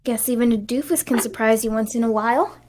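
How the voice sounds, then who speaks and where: Sarcastic young girl, female reader: high-pitched, wry, self-assured cartoon character. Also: quick pace, darkish tone, slight background noise, close to an everyday voice. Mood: snarky. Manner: snarky, wry. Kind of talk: amateur cartoon table read.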